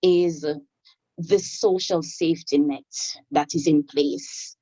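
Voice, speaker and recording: A Jamaican woman speaks as a panelist in an academic panel, with a low, mellow voice. She talks in a measured way, pausing on fillers and restarting her phrases. The sound is dry and a little dull, like a video call. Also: fairly even intonation, no room sound.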